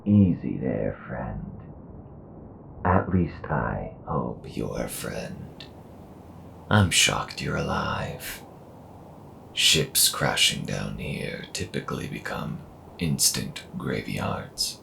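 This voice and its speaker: Man as a very gravelly, bottomless-voiced desert stranger. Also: extremely low pitch, big pitch swings, drawn-out words, noisy background, full character voice. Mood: wary, friendly. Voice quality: gravelly, creaky. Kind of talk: audio roleplay science fiction acting.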